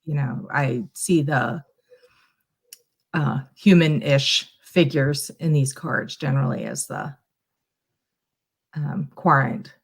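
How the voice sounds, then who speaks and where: A woman's voice: very deep voice, slow, gravelly, pauses often, husky. A woman guest on a live stream, talking informally and a little hesitantly. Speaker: guest tarot reader. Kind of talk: casual live-stream chat.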